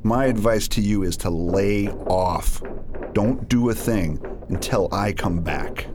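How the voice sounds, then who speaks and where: A man playing a cold-blooded genius villain from a space opera radio play. He talks down to everyone with scornful authority in a lowish voice. The recording has clear background noise. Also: slow. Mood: stern. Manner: scornful, domineering. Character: arrogant, ruthless male villain scientist in space opera. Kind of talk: radio drama acting.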